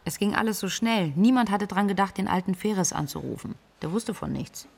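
A composed woman with medical precision, recounting like an interviewed witness in a radio play. Her middle-pitched voice has clear creak. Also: animated, fairly quick, a little mellow. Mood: subdued. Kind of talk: interview-like radio drama narration.

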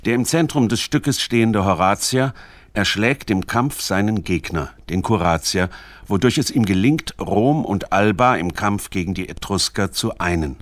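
A man reading scripted narration for a literary radio documentary in a measured, formal manner. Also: fairly low pitch, expressive, dry recording.

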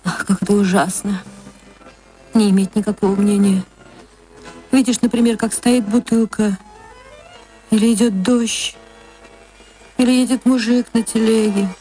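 An actress reading a short story for radio, in expressive dramatic literary narration with drawn-out words. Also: female voice, medium pitch, soft background music, low-quality recording.

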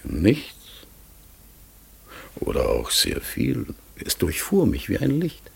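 Melancholy talking raven for radio drama: very low, husky, slow and halting, sometimes sinking to a whisper. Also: male voice, wildly swooping pitch, full character voice. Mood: wistful, halting. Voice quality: gravelly, husky, breaking into whispers. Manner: slow, halting, searching, self-correcting. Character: melancholy, pensive talking raven with fading memory. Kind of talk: German radio play acting.

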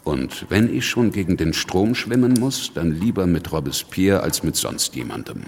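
An actor in a German radio drama playing a worldly, pragmatic revolutionary politician, speaking and recounting in a measured, dry, wry way. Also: male voice, sits low, lively intonation, vocal fry, slightly rough, long reverb tail, exaggerated voice. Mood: reflective. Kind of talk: German radio drama, first-person narration and dialogue.

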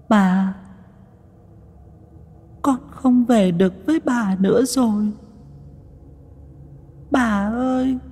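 Man voicing a drowned child's ghost, shrill strained falsetto, slow drawn-out wails, eerie storybook spirit, husky edge underneath. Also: mellow, big-room sound, heavily acted. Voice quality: high, thin, strained falsetto. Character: drowned little girl's ghost, mournful and pleading.

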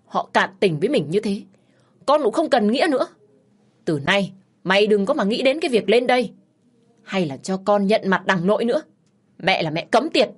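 Woman acting a fiery, husky, scolding mother. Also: medium pitch, sing-song, normal speed, pauses often. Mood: indignant, scolding. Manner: scolding, indignant, forceful. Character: fiery, protective mother of a scorned young widow.

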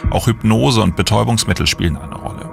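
A man's voice: on the low side, fast, gravelly, darkish tone. A man reading scripted true-crime narration for a podcast trailer. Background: music.

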